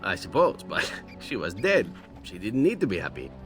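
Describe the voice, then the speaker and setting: An actor playing a suave, roguish assassin in a video game, telling tales wryly and theatrically. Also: male voice, low-pitched, huge pitch swings, normal speed, a bit bright, faint music behind, heavily acted. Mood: wry, nostalgic. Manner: theatrical, wry, lilting. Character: suave, roguish male elven assassin, wry storyteller. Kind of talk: video game character acting.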